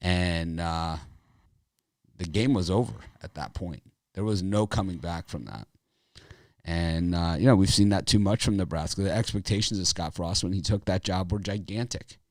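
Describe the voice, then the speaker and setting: Raspy, very deep-voiced man. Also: normal speed, dry recording, clean studio sound. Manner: rambling, filler-heavy, opinionated. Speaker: sports betting show host. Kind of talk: casual sports talk.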